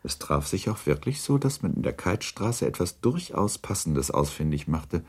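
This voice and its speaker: A man's voice: low voice, sing-song, normal speed, darkish tone, husky. A man reading scripted literary narration for a radio drama.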